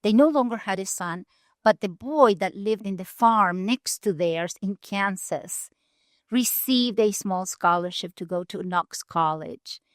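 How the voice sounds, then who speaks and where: A woman from Argentina, a nonprofit leader telling her story in an earnest, measured way with deliberate pauses. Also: medium pitch, no echo, studio quality. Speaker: guest, nonprofit CEO. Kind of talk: storytelling interview answers. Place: conference venue.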